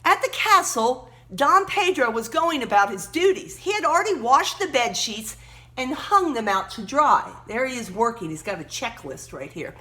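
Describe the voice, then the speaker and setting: A woman reading a children's story aloud expressively, emphatically pausing to point out words and pictures. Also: on the high side, sing-song, sharp tone.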